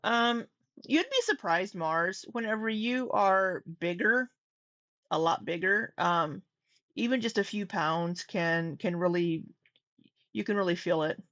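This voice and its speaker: A woman's voice: mid-range voice, animated, unhurried, a bit bright, dry recording, dull audio. A woman hosting a reaction commentary, talking in a conversational way with frequent fillers and restarts. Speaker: commentary host.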